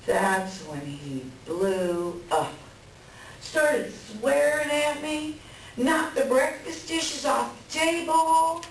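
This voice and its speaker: A woman playing an upset, bitter wife venting about her cheating husband in a staged play reading. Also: high-pitched. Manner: agitated, colloquial venting. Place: library room reading before an audience. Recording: very echoey room, distant mic, faint noise.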